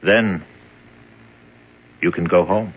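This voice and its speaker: An actor playing a courteous, evasive man who speaks in a formal, measured way in a 1970s radio drama. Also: deep voice, very noisy. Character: evasive, courteous male recruiter for troubled people. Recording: tinny narrow-band old radio broadcast.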